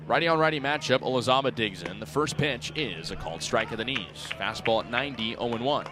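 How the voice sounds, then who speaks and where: A man in his twenties calling baseball play-by-play for radio in crisp, clipped phrases that lift on the action. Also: medium pitch, noisy background. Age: 20s. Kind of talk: live radio sports commentary. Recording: outdoor ballpark crowd behind voice. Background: ballpark crowd.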